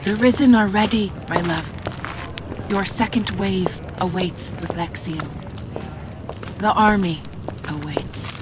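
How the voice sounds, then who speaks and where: A woman's voice: mid-range voice, takes it slowly, pauses often, natural voice. A woman playing a warlord's devoted, shrewd consort in an audio drama. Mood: devoted, conspiratorial. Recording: noticeable background noise.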